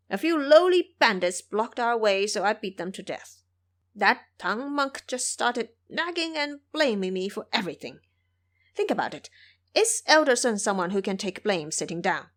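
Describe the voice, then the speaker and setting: A woman's voice: fairly high pitch, sing-song, moderate pace, fairly bright tone. A woman voicing a brash, proud monkey king turned monk in an audio drama, speaking boastfully and indignantly.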